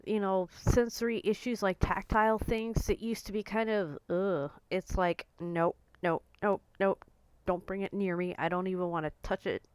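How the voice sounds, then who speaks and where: A woman in her forties talking casually to camera in a blunt, outspoken way, her voice a little croaky and hoarse. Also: medium pitch, steady tone, moderate pace, no echo, low-quality recording. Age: 40s. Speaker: vlogger.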